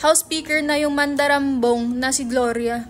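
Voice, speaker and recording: Woman acting exasperated, high-voiced office guy. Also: steady tone, normal speed, soft background music, lightly acted. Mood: cynical, impatient. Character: exasperated, foul-mouthed male office partner, cynical and pragmatic. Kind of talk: dramatic reading of a play.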